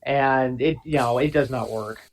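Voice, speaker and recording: A man's voice: mid-range voice, moderate pace. A man co-hosting a podcast, talking in a rambling, agreeable way with many restarts. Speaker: podcast co-host. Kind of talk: casual podcast discussion.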